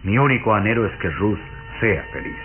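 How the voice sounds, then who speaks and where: An actor playing a pale, suave, scheming count in an old radio serial, courtly and insinuating in manner. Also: male voice, fairly low pitch, sing-song, fairly quick, music in the background. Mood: smooth, courtly. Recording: muffled, hissy old radio serial recording.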